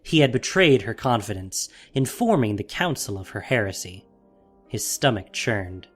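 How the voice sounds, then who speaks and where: A man's voice: fairly low pitch, very animated, moderate pace, husky, soft background music. A man narrating a fantasy audio drama in a dramatic, scripted storytelling style.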